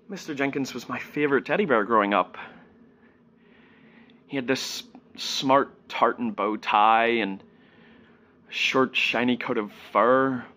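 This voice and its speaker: A man performing a dramatic monologue as a grown son, wistful and halting as he recalls his childhood. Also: mid-range voice, bright, forceful tone. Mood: fond, wistful. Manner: halting, subdued, reflective.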